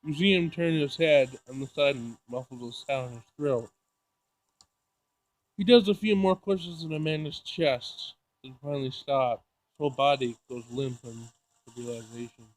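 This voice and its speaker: A man playing through a visual novel, reading it aloud in an emotional, halting way with dramatic outbursts. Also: medium pitch, poor audio. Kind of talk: let's play narration with loud reactions.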